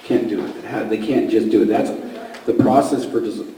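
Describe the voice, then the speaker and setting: A man's voice: fairly low pitch, far from the mic, noisy background. Man losing his voice, echoey meeting room. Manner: explanatory, halting with fillers. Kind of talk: public meeting remarks.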